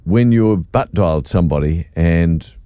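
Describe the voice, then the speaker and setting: A man's voice: sits low, measured pace, dark tone. A man hosting a breakfast radio show, chatting casually and reading out listener messages.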